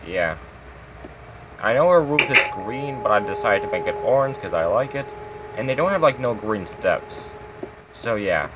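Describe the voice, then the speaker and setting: A man's voice: fairly low pitch, animated, moderate pace, noisy background. A man hosting his own game channel, giving a casual, rambling tour of his builds with lots of fillers.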